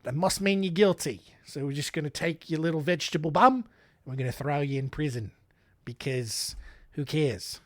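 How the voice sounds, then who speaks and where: A man playing a condescending male court official who talks down slowly and sarcastically. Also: on the high side, no echo, close to an everyday voice. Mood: mocking.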